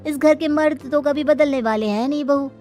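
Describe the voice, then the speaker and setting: Lady playing a resigned aunty in a cartoon story, submissive, cautioning, highish voice, light music. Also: moderate pace, mellow, natural voice. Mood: resigned advice. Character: resigned, submissive elder woman of the household. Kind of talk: cartoon moral story dialogue.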